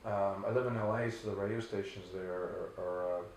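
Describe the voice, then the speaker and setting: Low-voiced bass singer, very echoey. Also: male voice, a little fast, slight background noise. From Canada. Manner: relaxed, rambling, many restarts and fillers. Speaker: rock band singer. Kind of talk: casual interview answers.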